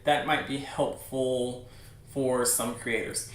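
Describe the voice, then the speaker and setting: A man's voice: mid-range voice, steady tone, takes it slowly. A man hosting a livestream, chatting casually to viewers in an informal, encouraging way. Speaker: livestream host. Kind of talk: casual chat to a livestream audience. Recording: very echoey room, distant mic.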